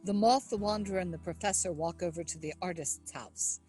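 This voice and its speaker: A woman narrator with a husky voice reading stage directions as scripted narration. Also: on the low side, a little fast, dark tone, soft background music.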